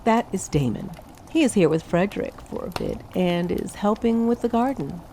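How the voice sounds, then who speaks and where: Woman playing a wry, lively-toned haughty wizard. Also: mid-range voice, normal speed, slight background noise, lightly acted. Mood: matter-of-fact. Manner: dry, formal, teasing. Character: wry, haughty, composed female wizard who owns a tower. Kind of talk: acted fantasy audio drama.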